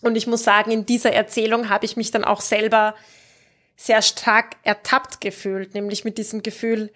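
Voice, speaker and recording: A woman's voice: mid-range voice, little pitch movement, moderate pace, a bit bright. A woman discussing books as a host, explaining things in a reflective, informal and conversational way.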